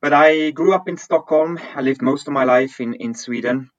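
A man's voice: fairly high pitch, normal speed, no room sound, muffled recording. A man in his forties from Switzerland, a guest on a podcast, answering questions thoughtfully and often repeating words as he searches for the phrasing. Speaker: podcast guest, digital business executive. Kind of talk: conversational interview answers.

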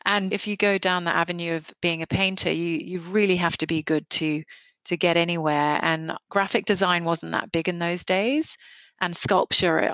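A woman's voice: lowish voice, fairly bright tone, no echo. A woman in her forties from Kenya, a photographer answering interview questions in a reflective way, often restarting and repeating words.

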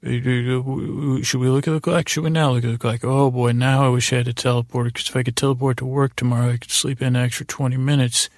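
A man with a gravelly, creaky voice hosting a podcast in a rambling bedtime monologue, halting and repeating himself as he meanders along. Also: mid-range voice, little pitch movement, dry recording, clean studio sound. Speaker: podcast host.

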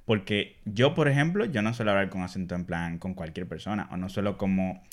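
A man's voice: deep voice, a crowd in the background. A young man, co-hosting a casual podcast chat in an emphatic, slangy way. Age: young adult. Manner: emphatic, slangy, quick agreement. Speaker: podcast co-host.

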